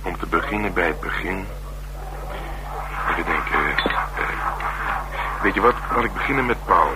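An actor playing a streetwise, sardonic middle-aged police detective in a Dutch radio drama, talking bluntly and colloquially with dry teasing. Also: male voice, low-pitched, animated, normal speed. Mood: matter-of-fact. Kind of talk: naturalistic radio drama dialogue. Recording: humming, noisy old radio broadcast.

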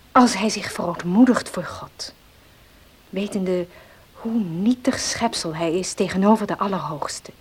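An actress in a Dutch radio play playing a devout, gentle farmer's wife, soft-spoken and earnest. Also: female voice, medium pitch, breathy edge. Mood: solemn, admonishing.

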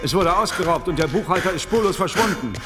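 A driven male doctor with a raised, pressing voice. He commands and pleads in halting bursts. The radio drama recording has long reverb and prominent music behind him. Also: high-pitched, expressive. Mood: determined explaining. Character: urgent, determined male doctor racing to stop an execution. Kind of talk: radio play acting.

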